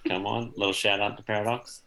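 Us guy in his 30s, really deep voice, laid back and jokey, guest on a podcast video call. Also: level tone, quick pace, creaky, a crowd in the background, low-quality recording. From small town in Oklahoma, USA. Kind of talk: casual interview chat.

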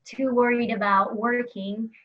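A young woman from Brazil chats easily about her music, with lots of fillers and a laugh slipping into her sentences. Her voice sits a bit high. The recording is a little dull and lo-fi. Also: steady tone, a little slow. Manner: chatty, frequent "like" fillers, laughs while talking. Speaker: musician guest. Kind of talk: casual interview chat. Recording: slightly dull lo-fi sound.

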